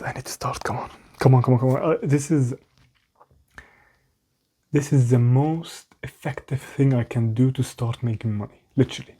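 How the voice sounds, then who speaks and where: A young man speaks English with a clear foreign accent in a mellow, relaxed voice. He rambles candidly to the camera and pokes fun at himself. It is a casual vlog from a small room at home. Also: medium pitch. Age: young adult. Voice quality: non-native English accent. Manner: rambling, self-mocking, restarts often. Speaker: vlogger.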